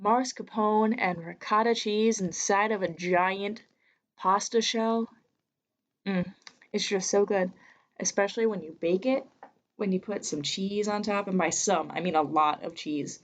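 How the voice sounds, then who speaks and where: A woman's voice: mid-range voice, unhurried. Young woman hosting a chatty podcast. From United States. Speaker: podcast host. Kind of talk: casual podcast talk and narration. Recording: dull lo-fi recording.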